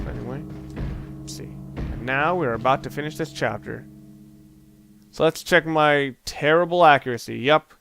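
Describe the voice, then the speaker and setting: A man hosting a let's play, commentating casually on a video game in a rambling, halting way with dry joking asides. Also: on the high side, gravelly, pushed and bright. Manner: rambling, halting self-corrections. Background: video game music.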